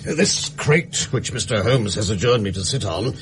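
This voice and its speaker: An actor playing a stuffy bank director in a radio drama, speaking in a formal, proper manner. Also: male voice, fairly low pitch, expressive, moderate pace, background noise, lightly acted.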